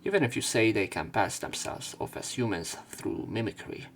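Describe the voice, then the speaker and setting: Low-voiced man narrating a visual novel. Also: animated, moderate pace, vocal fry, a bit bright, faint music behind. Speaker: let's play narrator. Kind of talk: reading visual novel text aloud with commentary.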